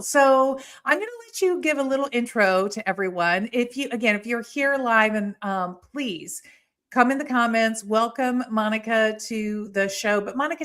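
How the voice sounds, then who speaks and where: A woman's voice: fairly high pitch, fairly bright tone. A woman hosting a live podcast over a video call, greeting viewers by name in a chatty way. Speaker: podcast host. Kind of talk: live-streamed podcast chat.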